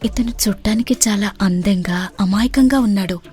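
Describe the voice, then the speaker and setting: A clever, brave young bride, as a woman plays her, talking boldly and defiantly. Her voice sits at a mid pitch, with light music playing underneath. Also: moderate pace, darkish tone, lightly acted. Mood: determined. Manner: bold, defiant.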